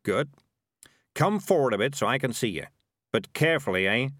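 A man's voice: mid-range voice, big pitch swings, moderate pace, a bit bright, no room sound, clean studio sound, pitched above the performer's own voice. A man voicing a cynical, bossy talking animal schemer who lectures in a wheedling, condescending way in a storybook reading. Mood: calm, menacing.

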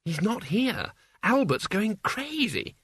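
A man's voice: high-pitched, big pitch swings, normal speed, fairly bright tone, breathy edge, no echo, clean studio sound, voice pushed up, heavily acted. A man voicing an anxious, pleading teenage girl with an urgent, tight delivery in an audiobook story. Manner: urgent, tight-voiced, fretting.

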